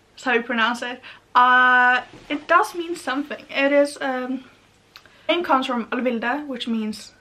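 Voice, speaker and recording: A woman's voice: fairly high pitch, sharp tone. Chatty Norwegian young woman rambling. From Norway. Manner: chatty, rambling, many fillers. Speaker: vlogger. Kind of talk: rambling casual monologue. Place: her bedroom, indoors.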